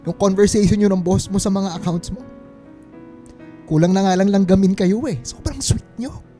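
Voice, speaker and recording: A wounded Filipino husband acted by a woman in a radio drama, at a medium pitch for a female voice. The delivery is accusing and pleading, with music playing behind it. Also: moderate pace, warm tone, close to an everyday voice. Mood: betrayed, reproachful. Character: wounded, suspicious husband, a struggling small-shop mechanic.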